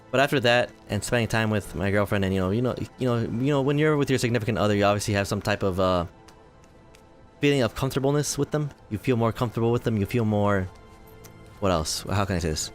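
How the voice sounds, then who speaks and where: A man in his twenties giving rambling, self-deprecating commentary while playing a game, his voice tired and a little hoarse. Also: fairly low pitch. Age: 20s. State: voice tiring, slightly hoarse. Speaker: gaming video host. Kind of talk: casual let's-play commentary.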